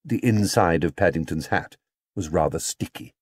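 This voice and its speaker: A man narrating a children's story as an audiobook reader, in an expressive scripted style. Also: deep voice, normal speed.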